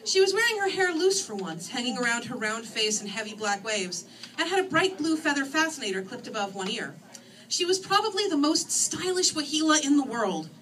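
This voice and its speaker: A woman reading her first-person novel aloud in an expressive, theatrical and wry manner. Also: a little high. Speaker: author reading own novel. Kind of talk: animated first-person story reading to an audience. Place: screening room, live reading. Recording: distant microphone in a room.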